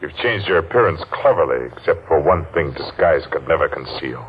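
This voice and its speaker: Vengeful masked stranger, taunting, very deep voice, muffled old radio sound. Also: male voice, lively intonation, husky, exaggerated voice. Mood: menacing. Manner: taunting, slow and deliberate, laughs mockingly. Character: menacing masked man, vengeful survivor. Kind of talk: 1940s radio acting.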